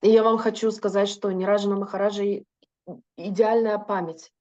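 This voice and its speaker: Interpreter: woman, mellow dark tone, fluent with fillers, dull lo-fi recording. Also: mid-range voice, little pitch movement, fairly quick. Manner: fluent with frequent filler hesitations. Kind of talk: consecutive interpreting of a lecture.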